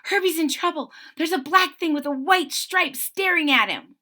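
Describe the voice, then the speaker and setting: A woman acts out a small female kitten from a storybook. The voice is pitched high and sharp, full of anxious urgency. She sounds timid yet determined. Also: moderate pace. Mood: urgent pleading. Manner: crying out anxiously. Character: timid little girl kitten, frightened but determined.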